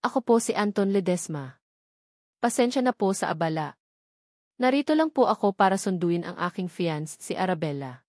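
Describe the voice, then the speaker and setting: A woman's voice: fairly low pitch, lively intonation, normal speed, a little mellow, no echo. A cocky, sneering man in a narrated audio drama, as a woman storyteller reads him. His lines come mocking and pushy, read close and dry with no room sound. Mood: falsely polite. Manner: sneering, taunting.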